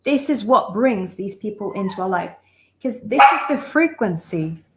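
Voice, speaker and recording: A woman's voice: mid-range voice, expressive, moderate pace, heavy background noise. A young adult woman from Lithuania, a relationship coach giving a live online masterclass, talking with emphatic, impassioned repetition and calling listeners her loves. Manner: emphatic, impassioned delivery with lists of repeated phrases; addresses listeners warmly as sisters and loves.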